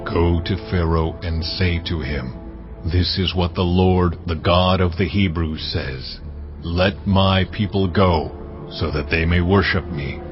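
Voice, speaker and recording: God in an audio drama, a very deep and unhurried commanding voice. The sound is slightly dull, with music swelling underneath. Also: male voice. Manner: slow, measured, authoritative delivery. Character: God, a solemn commanding divine voice. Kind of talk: dramatized scripture reading.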